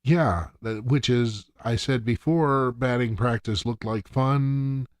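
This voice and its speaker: A man talks at a slow, unhurried pace as a podcast co-host going through an episode. His pitch is average for a man, the style is casual and conversational, and the recording is dry. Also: no echo. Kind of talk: casual TV episode recap chat.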